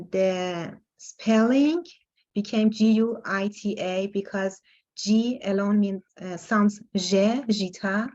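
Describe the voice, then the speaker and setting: A woman's voice: medium pitch, darkish tone. Hesitant woman from Iran on a call. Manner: hesitant, many pauses and fillers, laughs often. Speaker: workshop participant. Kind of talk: casual introduction on a video call. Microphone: video call. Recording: dull lo-fi call audio.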